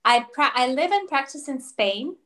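A woman's voice: sits high, expressive, moderate pace, a bit bright. A woman in her thirties, born in Russia with Russian as her first language, telling her story as an interview guest over a video call, thoughtful with many fillers and restarts. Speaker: naturopathic doctor, guest.

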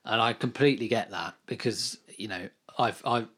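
A man's voice: lowish voice, normal speed, fairly bright tone, breathy edge. A man co-hosting a podcast, speaking casually with restarts. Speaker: podcast co-host. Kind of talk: casual chat.

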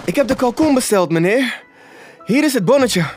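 Young errand boy voiced by a man: storybook character, eager, deferential, high lifted voice. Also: expressive, normal speed, a little mellow, faint music behind. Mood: eager, breathless.

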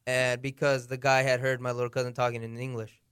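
Relaxed young man with smooth, clear voice. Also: mid-range voice, a little fast, dry recording, clean studio sound. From United States. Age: young adult. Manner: relaxed, frank storytelling. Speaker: guest. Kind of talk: casual chat.

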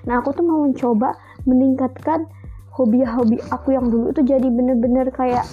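A woman's voice: sits high, fairly even intonation, a little fast, narrow, tinny audio, faint music behind. A young woman hosting her first solo podcast, talking in a chatty, reflective way with many fillers. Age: young adult. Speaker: podcast host. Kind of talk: casual solo podcast monologue.

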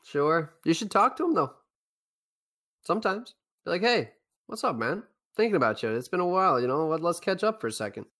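A young man from Newfoundland, Canada, with a Newfoundland accent, co-hosting a casual podcast chat and telling rambling, animated stories. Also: fairly high pitch, a little fast. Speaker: podcast co-host.

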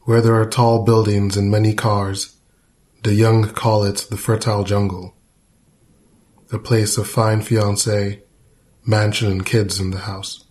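A man's voice: lowish voice, flat delivery, measured pace, a little mellow. A man reading a narrative poem aloud. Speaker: poetry reader. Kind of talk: poetry reading.

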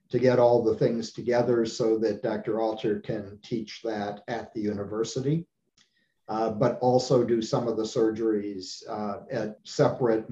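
A man from the United States speaks in a mellow, relaxed tone, explaining at a measured pace with many pauses to search for words. His voice sounds roomy and a little dull, with a faint hum of ambience behind it. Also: fairly low pitch. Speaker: ophthalmologist guest. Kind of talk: conversational interview.

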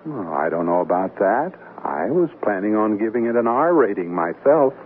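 A man's voice: fairly low pitch, sing-song, moderate pace. A man playing an easygoing, romantic middle-aged newlywed husband who jokes breezily in a radio comedy. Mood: wryly joking. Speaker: actor. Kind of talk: 1970s radio comedy acting. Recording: narrowband 1970s radio recording, faint hiss.

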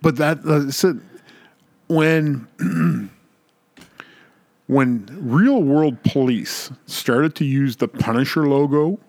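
Man, podcast co-host, unhurried pace, dry close sound, emphatic, argumentative, casual talk. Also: a little high, vocal fry, a little mellow, no room sound. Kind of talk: casual opinionated pop culture chat.

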